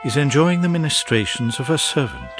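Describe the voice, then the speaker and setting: A man narrating a gothic audio drama in a husky voice with dramatic scripted delivery. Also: medium pitch, wildly swooping pitch, a little fast, over music.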